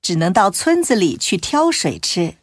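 Expressive female story narrator. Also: medium pitch, normal speed, dry recording, studio quality.

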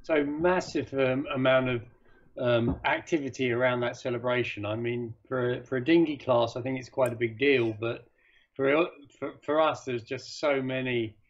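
A man's voice: mid-range voice, steady tone, moderate pace. A man being interviewed as a sailing class chairman over a video call, talking with many hesitations and restarts. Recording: dull video-call audio.

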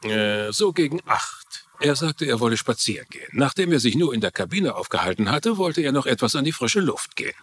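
A man's voice: mid-range voice, big pitch swings, fairly quick, hardly any pauses, a bit bright, slight background noise. A man playing a defensive ship passenger under questioning in a dubbed crime drama.

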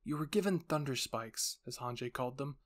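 A man narrates a dramatic scripted tale. His voice sits at a medium male pitch, and he delivers it as a storyteller. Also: fairly quick. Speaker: narrator and voice actor.

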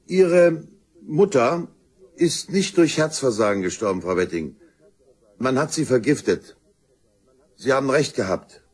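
Husky cop guy, crime audio drama, swings his pitch around, probing, matter of fact. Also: expressive, measured pace, long pauses, a little mellow. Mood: businesslike. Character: probing, matter-of-fact male police detective. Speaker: police detective. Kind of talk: German crime radio play acting.

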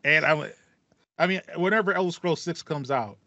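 A man's voice: on the high side, moderate pace, bright, forceful tone, no echo, dull audio. A man in his thirties co-hosting a casual podcast, talking enthusiastically and repeating phrases for emphasis. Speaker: podcast co-host. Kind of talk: casual chat about video games. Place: small home room with foam panels, indoors. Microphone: headset on a video call.

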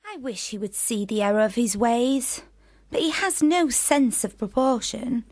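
An actress in a radio play as an outspoken, indignant young sister, pointed and emphatic. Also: female voice, on the high side, measured pace, darkish tone, no room sound. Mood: disapproving.

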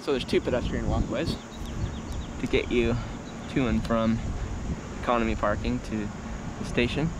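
A man giving a casual, unscripted vlog tour, speaking in a halting, low-key way as if thinking aloud. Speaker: vlogger. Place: outdoors on an open parking structure. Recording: windy outdoor audio, loud vehicle noise. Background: wind, vehicles, urban noise.

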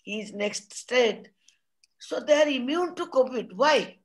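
An Indian woman with a fairly high voice gives an earnest, explanatory talk over a video call, pausing often in the middle of her sentences. She speaks as a presenter at an academic conference. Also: lively intonation. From Odisha, India.

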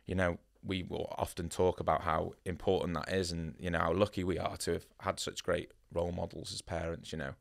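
A man's voice: deep voice, level tone, fairly quick, gravelly, hardly any pauses. A man from North Wales, a tattooist, chatting as a podcast guest in a studio, upbeat and rambling with lots of "you know" and "yeah". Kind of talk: casual interview chat. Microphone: studio boom mic.

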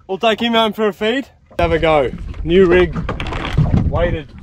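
Clear noise from open water surrounds a young man with an Australian accent and a noticeably high voice. He talks casually to his viewers as a fishing vlogger, in a colloquial, laid-back way. The sound is that of a camera out on a small boat. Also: unhurried. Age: young adult. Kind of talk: casual vlog commentary to viewers. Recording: noisy outdoor recording on open water.

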